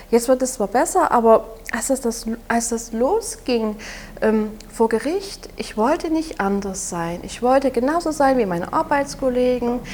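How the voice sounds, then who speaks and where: A woman in her twenties from Saxony with a Saxon German accent, speaking haltingly and softly as an interview guest, often restarting her sentences. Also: fairly high pitch, a little hiss or hum. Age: 20s. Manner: halting, soft-spoken, with frequent restarts. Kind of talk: personal testimony in an interview.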